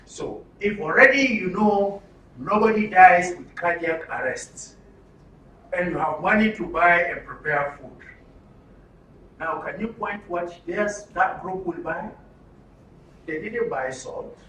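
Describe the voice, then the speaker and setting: High-pitched Nigerian man leading a workshop. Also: slow pace, sharp tone. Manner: instructive, using rhetorical questions and repetition. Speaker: workshop facilitator. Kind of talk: live workshop talk to a group. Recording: roomy, distant mic with some noise.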